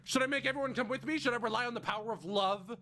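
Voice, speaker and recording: A man's voice: animated, unhurried, a bit bright. A man voicing an anxious young male traveller trapped in a time loop, firing off rising, desperate questions. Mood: frantic. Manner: rising frantic questioning, voice climbing in pitch. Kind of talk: fan voice acting of game dialogue.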